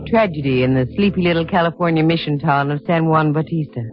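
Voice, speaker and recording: A woman's voice: deep voice, quick pace, natural voice. An actress playing a shrewd, matter-of-fact female private detective in 1950s radio style, narrating her case with a wry edge. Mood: grave, narrating. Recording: dull old radio recording with music.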